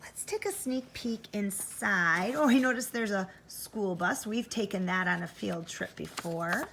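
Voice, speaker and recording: A woman's voice: mid-range voice, measured pace, creaky, a bit bright, slight background noise. A woman teaching first grade, giving an interactive read-aloud for children, thinking aloud expressively and addressing young listeners directly.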